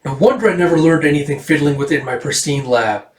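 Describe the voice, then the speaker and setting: A man's voice: on the high side, animated, quick pace, roomy sound, distant mic, natural voice. A man playing a vengeful, boastful villain in a tabletop game, theatrical and condescending. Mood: explanatory. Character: vengeful, scheming, self-proclaimed wicked man, boastful villain.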